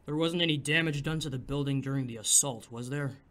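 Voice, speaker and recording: Give the audio drama a man as a put-upon police officer. His voice is mid-pitched with a very bright, forceful edge. He grumbles drily, worn down by the job. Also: brisk, natural voice. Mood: dry. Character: weary, grumbling male city police officer. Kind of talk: comedic audio drama acting.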